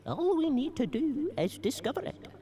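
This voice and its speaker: A woman playing a gentle old male mushroom gatherer in a family audio drama, musing and hesitant. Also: sits high, big pitch swings, normal speed. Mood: kindly, reflective. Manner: musing, hesitant, humming to self.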